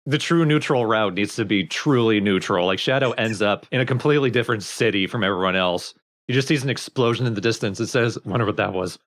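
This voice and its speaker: A man's voice: lowish voice, fast, fairly bright tone. A young man casually explaining game mechanics as a let's play commentator.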